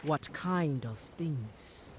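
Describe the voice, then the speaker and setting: An actress playing an earnest, resolute priestess in a fantasy game, speaking formally and deliberately. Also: female voice, low-pitched, animated, heavily acted. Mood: firm. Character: earnest, resolute priestess leader of an island people. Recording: noisy ambient background.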